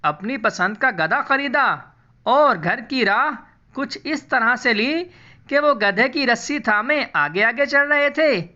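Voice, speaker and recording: A woman's voice: on the high side, expressive, slow, bright, forceful tone, muffled recording. A woman storyteller reading a scripted moral tale in storybook narration for a cartoon.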